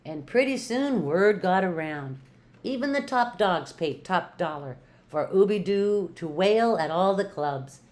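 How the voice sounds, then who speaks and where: An older woman, a retired teacher, reads a picture book aloud to children with playful, rhythmic emphasis and drawn-out words. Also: on the low side, lively intonation, darkish tone.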